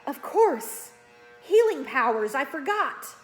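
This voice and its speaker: A woman's voice: high voice, sing-song, takes it slowly, soft background music, pitched above the performer's own voice, heavily acted. Woman voicing a gloating, menacing teenage boy villain. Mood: quiet menace. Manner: taunting, cold, self-satisfied. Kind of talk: children's fantasy storybook read aloud.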